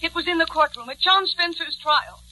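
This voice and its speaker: A hard, vengeful woman in an old radio thriller. Her high voice is piercingly forceful, biting and mocking. Faint music sits in the 1940s recording. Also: moderate pace, a little hiss or hum, heavily acted, low-quality recording. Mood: scornful, vengeful. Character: cold, scornful, vengeful female double-crosser.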